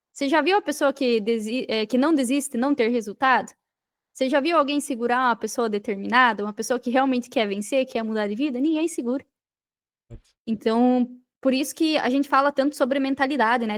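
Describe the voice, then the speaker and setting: High-pitched Brazilian woman, motivational podcast talk. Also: no room sound, clean studio sound. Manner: emphatic, repeats phrases, addresses listener directly. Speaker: podcast guest, digital marketer.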